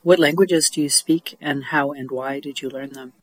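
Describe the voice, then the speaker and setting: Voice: medium pitch, steady tone, moderate pace, no echo. A podcast host asking interview questions and reading a scripted sign-off. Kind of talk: radio interview.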